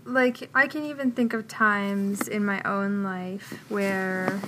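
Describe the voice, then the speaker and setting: A woman interviewing a guest casually, asking thoughtful questions slowly with fillers. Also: mid-range voice, steady tone, background noise.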